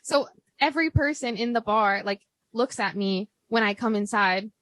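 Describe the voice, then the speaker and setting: A woman's voice: fairly high pitch, moderate pace, a bit bright. A young American woman hosting a podcast, chatting casually over drinks with a big voice, lots of "like" and frequent laughs. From United States. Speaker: podcast host.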